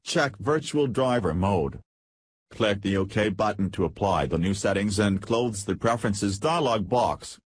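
Husky, low-voiced man narrating a tutorial. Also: animated, unhurried, dry recording. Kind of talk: scripted software tutorial narration.

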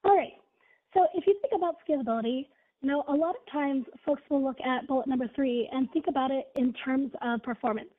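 A woman's voice: high voice, brisk. A woman with a scratchy voice from being ill presents a webinar as a product manager, in an upbeat way with many fillers like "you know" and "right". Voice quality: husky. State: scratchy voice from illness. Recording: tinny phone-line audio.

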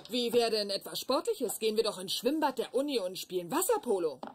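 A woman's voice: fairly high pitch, expressive, brisk, a little hiss or hum, close to an everyday voice. A woman playing an eager, earnest, oddball scientist in a dubbed sitcom.

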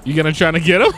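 A man chatting excitedly in voice chat while playing a roleplay game, often laughing and exclaiming. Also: very high-pitched. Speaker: streamer playing a civilian in a roleplay server. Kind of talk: casual voice chat while gaming.

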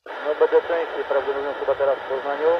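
A man's voice: medium pitch, little pitch movement, fast. Man on very noisy CB radio. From Lower Silesia, Poland. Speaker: CB radio operator in a car. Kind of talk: casual radio chat. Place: inside a car. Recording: muffled, very noisy CB radio transmission.

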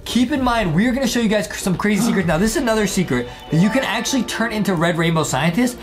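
A young American man hosting casual, excited gameplay commentary, slangy and often repeating himself for young viewers. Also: high-pitched, fast talker. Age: young adult. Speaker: gaming show host. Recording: music behind the voice.

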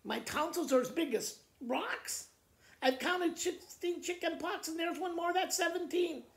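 A man's voice: very high-pitched, measured pace, gravelly, a bit bright, pitched above the performer's own voice, exaggerated voice. A man voicing a melodramatic little girl who is faking sickness, whiny and self-pitying as she lists complaints. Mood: whiny complaining. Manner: whiny, breathless listing of complaints. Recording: roomy indoor echo.

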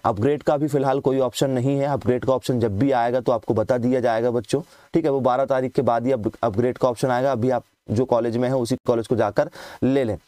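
A man's voice: medium pitch, dark tone, no echo. A man from India, a coaching teacher, explaining admission fees to students, often asking them a question and then answering it himself. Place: voice-over on scanned documents.

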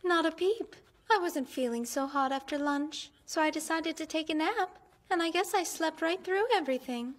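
A woman's voice: sits high, normal speed, close to an everyday voice. An actress in an anime dub playing a casual female house guest. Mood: nonchalant.

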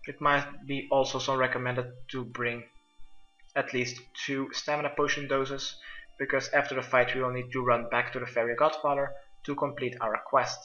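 Bright-voiced man guiding gamers, roomy sound. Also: medium pitch, level tone, moderate pace. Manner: instructional, step-by-step. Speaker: gamer presenting a quest guide. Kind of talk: video game walkthrough commentary. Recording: muffled lo-fi roomy mic, music behind.

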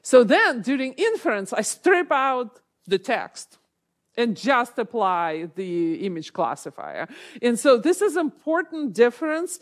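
A woman's voice: a little high, big pitch swings, measured pace. A middle-aged woman giving an academic keynote lecture in a large hall, explaining carefully and often correcting herself mid-sentence. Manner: thoughtful, explanatory, frequent self-corrections. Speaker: keynote speaker, professor.

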